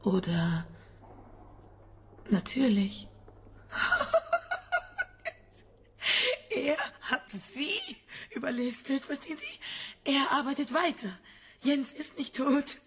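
An actress playing a sick, embittered scientist's wife in a radio drama, agitated, halting and insistent. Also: female voice, high voice, expressive, breathy edge, heavily acted. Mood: unhinged, insistent. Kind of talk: German radio drama acting.

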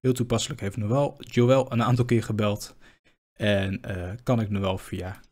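A man with a slightly husky voice presents an informal screen-share software tutorial. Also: fairly low pitch, level tone, unhurried.

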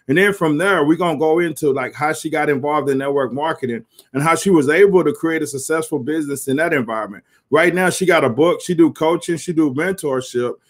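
Fast-talking man hosting a video call. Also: a little high. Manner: energetic, hypes up his guest. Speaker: host. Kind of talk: casual livestream interview. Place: small home office indoors. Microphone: webcam on a video call.

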